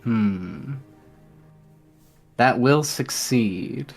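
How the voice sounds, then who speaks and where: Tabletop game master, mid-range pitch, descriptive but hesitant narration, soft music underneath. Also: expressive, extremely slow, creaky. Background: faint music.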